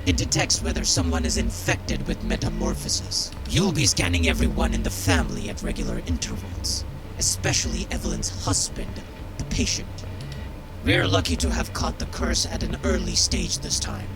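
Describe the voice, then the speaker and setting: Very deep gravelly callous sergeant. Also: male voice, huge pitch swings, moderate pace, music in the background, full character voice, rough recording. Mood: cold, blunt. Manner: blunt, mocking, commanding. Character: gruff, foul-mouthed, callous male military medical sergeant. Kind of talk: game character dialogue.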